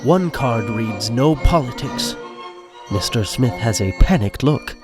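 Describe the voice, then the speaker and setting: A man's voice: mid-range voice, expressive, a little slow. A man reading scripted narration for an audio drama. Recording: music under the voice.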